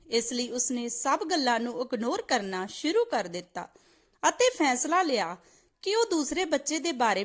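Female storyteller, high voice with lively swings, reading scripted family tales. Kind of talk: scripted moral story narration.

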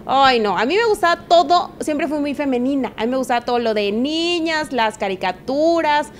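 High-pitched young Mexican woman chatting playfully. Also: normal speed, slight background noise. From Veracruz, Mexico. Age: young adult. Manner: expressive, playful. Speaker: sports journalist, podcast guest. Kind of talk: casual chat, anecdotal. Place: small indoor room with stone wall. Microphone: handheld microphone.

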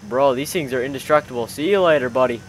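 A man's voice: mid-range voice, fast talker, a little hiss or hum. A young man commentating on gameplay casually with friends, exclamatory and full of slang like bro and dude. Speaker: gaming video host.

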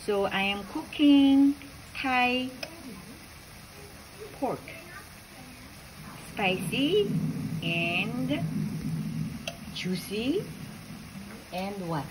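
A native Korean woman with a Korean accent, chatting casually in halting, simple phrases as she films a vlog. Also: on the high side, sing-song, heavy background noise. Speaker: vlogger. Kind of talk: casual chat while filming. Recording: music over the voice.

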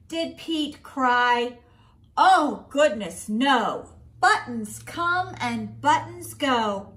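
A middle-aged woman reading a picture book aloud to children in an expressive, deliberate way. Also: high-pitched. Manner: expressive, slow and deliberate for children, with sing-song refrains. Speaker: school principal, guest story reader. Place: small home room, indoors.

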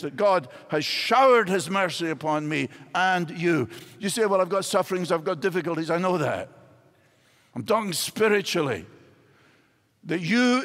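Older Scottish pastor, gravelly voice. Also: male voice, sits high. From Scotland. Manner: expressive preaching with wide gestures. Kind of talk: sermon. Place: large church stage. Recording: hall reverb in a large church.

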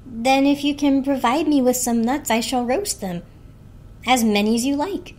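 A woman voicing a polite, earnest little toaster in a storybook, bright and courteous. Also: sits high, moderate pace, voice pushed up. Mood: bright, helpful. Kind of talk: dramatic children's storybook reading.

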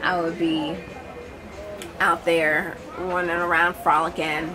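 A woman's voice: lowish voice, flat delivery, takes it slowly, over music, crowd noise, low-quality recording. A woman in her late twenties chatting casually and jokingly with friends in a vlog. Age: late 20s. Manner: playful, joking. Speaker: vlogger. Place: canvas beach cabana, open air. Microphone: handheld vlogging camera.

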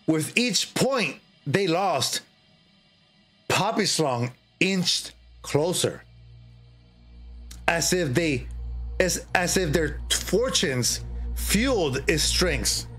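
A middle-aged man reading a horror story aloud in a theatrical, halting way, often repeating phrases. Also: fairly high pitch, very animated, fairly bright tone, breathy edge, soft background music. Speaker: streamer, horror story reader. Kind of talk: dramatic storytelling read aloud.

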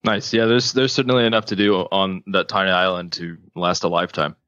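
A man, a podcast guest, talking in a relaxed way with many ums, from a studio space. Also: low voice, a little fast, clear, resonant tone, no room sound, lo-fi sound. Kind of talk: casual interview.

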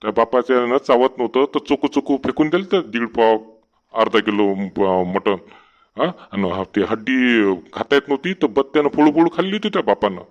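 A man's voice: mid-range voice, a little fast, close to an everyday voice. Man voicing a grumbling village husband, slightly muffled. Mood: sarcastic. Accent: rural Marathi of Vidarbha. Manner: complaining, sarcastic. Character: grumbling, henpecked village husband in a comedy cartoon. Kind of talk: cartoon comedy voice acting.

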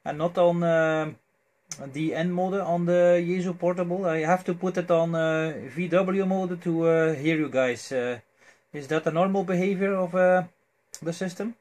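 A man talking as an amateur radio operator in an unscripted exchange, with a hesitant, halting delivery. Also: high voice, steady tone, darkish tone. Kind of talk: unscripted ham radio exchange with spelled call signs.

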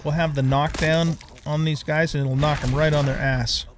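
A man's voice: a little high, flat delivery, moderate pace. A middle-aged or older man giving casual let's play commentary, rambling as he thinks aloud. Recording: clear background noise.

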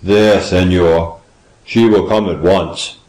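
An actor playing a timid, servile old tavern keeper, humble and quavering, in a dramatised audiobook. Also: male voice, sits low, steady tone, takes it slowly, a little mellow, roomy sound, low-quality recording. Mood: timorous, servile.

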